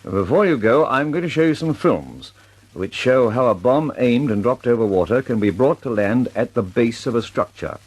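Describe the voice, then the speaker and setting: A man's voice: medium pitch, lively intonation, moderate pace, darkish tone, natural voice, poor audio. An actor playing a fussy, anxious older male bomb inventor in a 1940s radio drama. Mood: fretful.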